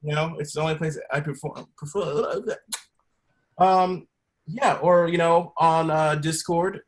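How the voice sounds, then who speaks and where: A man's voice: a little high, fairly even intonation, unhurried. A man performing on a podcast, chatting casually in a hesitant, self-deprecating joking way. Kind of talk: casual chat.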